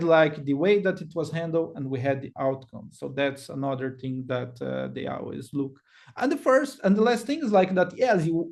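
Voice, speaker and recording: A man's voice: on the high side, a little mellow. A man in his thirties with a Brazilian accent, giving a casual webinar talk with many fillers and restarts. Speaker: webinar speaker. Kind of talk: casual presentation.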